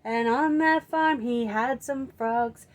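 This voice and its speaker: Storytime presenter: woman, high voice, unhurried, playful and expressive for young kids. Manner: playful, expressive, drawn-out emphasis. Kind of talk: children's storytime singing and storytelling.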